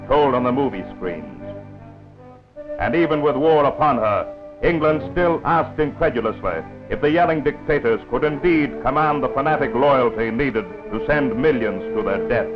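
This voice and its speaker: A man's voice: mid-range voice, expressive, normal speed. A man narrating a 1940s wartime newsreel in a grave, oratorical, scripted style. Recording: dull lo-fi 1940s film soundtrack with music.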